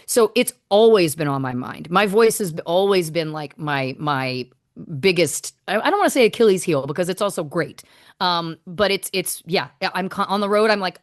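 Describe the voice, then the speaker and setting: A woman musician guest, chatting in an interview and telling quick, animated stories with playful asides. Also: on the low side, no room sound, studio quality. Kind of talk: casual interview chat.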